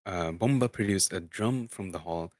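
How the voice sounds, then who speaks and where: A man narrating a book dramatically as an amateur, halting and stumbling over words now and then. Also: low voice, a little mellow, no room sound, studio quality. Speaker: streamer reading aloud.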